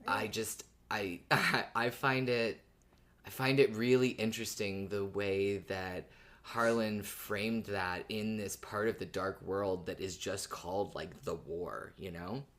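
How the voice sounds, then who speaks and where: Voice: low-pitched, steady tone, takes it slowly, a bit bright. Earnest podcast co-host with an ambiguous-sounding voice. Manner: earnest, explanatory, informal. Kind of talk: casual conversational podcast commentary.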